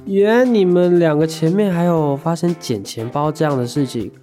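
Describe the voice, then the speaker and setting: A man's voice: fairly high pitch, normal speed, warm tone, lightly acted. A man acts a good-natured young male student who gets flustered easily. He speaks Taiwanese Mandarin in radio drama style, with faint music behind him. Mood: flustered, grumbling.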